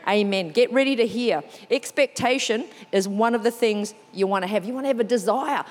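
A woman's voice: fairly high pitch, brisk. New Zealand woman preaching in a hall. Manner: lively, warm storytelling with self-deprecating asides. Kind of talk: sermon.

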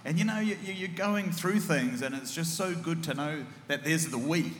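Booming enthusiastic man in an echoey hall. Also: normal speed. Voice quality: big booming voice. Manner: enthusiastic, affirming interjections. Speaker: church pastor hosting a panel. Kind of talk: casual church panel chat. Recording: echoey hall with long reverb.

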